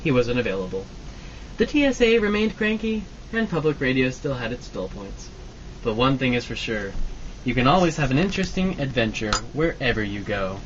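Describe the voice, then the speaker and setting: A man's voice: mid-range voice, sing-song, brisk. A man reading scripted narration for an old-time radio drama parody. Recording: distant, muffled, noisy live amateur recording.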